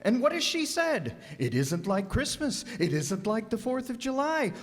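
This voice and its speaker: A man's voice: very high-pitched, sing-song, moderate pace, full character voice. A man playing a sarcastic, exasperated middle-aged father in an old-time radio sitcom, blustering and sputtering. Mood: exasperated, indignant. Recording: echoey hall, live stage performance.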